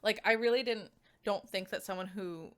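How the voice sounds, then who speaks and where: A woman giving a casual, chatty game review as a streamer, speaking informally and haltingly with lots of filler words. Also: medium pitch, fairly bright tone, clean tone. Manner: informal, halting, filler-heavy speech with frequent "like".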